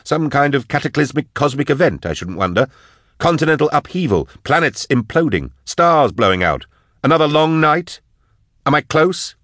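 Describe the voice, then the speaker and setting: A man voicing an outspoken, indignant male scholar who challenges and yells sarcastically in an audiobook story. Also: fairly high pitch, big pitch swings, normal speed, no echo, clean studio sound, pitched above the performer's own voice. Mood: sarcastic, pressing.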